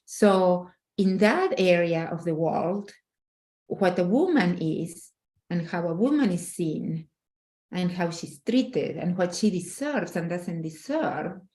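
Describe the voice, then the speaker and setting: A woman's voice: on the low side, expressive, slow, dark tone. A middle-aged woman from Argentina, speaking earnestly and emphatically as a relationship coach in a casual interview, often restarting her phrases.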